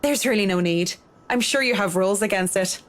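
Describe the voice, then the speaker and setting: An actress playing a reserved, blunt professional woman in a horror visual novel, speaking in a measured, dry way. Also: medium pitch, natural voice. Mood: polite, reserved.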